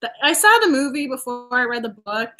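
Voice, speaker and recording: A woman from the Boston area hosts a casual chat about books over a video call. Her voice sits on the higher side, and she talks in bursts, laughing and restarting as she tries not to give anything away. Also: fairly quick, sharp tone, soft background music. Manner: chatty, laughs often, restarts while avoiding spoilers. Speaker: host.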